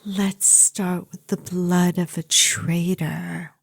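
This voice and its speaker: An ancient, obsessively devoted vampire girlfriend played by a woman for an ASMR audio roleplay. Her voice is soft and breathy, often slipping into whispers. She lingers elegantly over her words at a very slow pace, in a dry, intimate recording. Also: lowish voice, little pitch movement, no room sound, heavily acted. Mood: musing.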